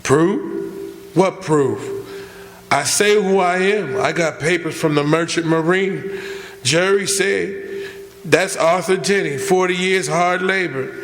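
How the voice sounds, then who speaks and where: Echoey stage radio play: young backwoods convict male actor, slightly high voice, bitter, defiant, without hope. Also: slow pace, a bit bright, soft background music, exaggerated voice. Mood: bitter, resigned. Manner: defiant, resigned, folksy rural grammar. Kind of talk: radio drama acting. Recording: echoey hall stage recording.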